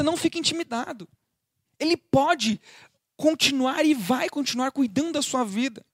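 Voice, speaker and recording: A man's voice: very high-pitched, no echo, studio quality. A man with a Brazilian Portuguese accent, preaching a livestreamed Bible teaching, explaining with homely everyday examples and earnest exhortation.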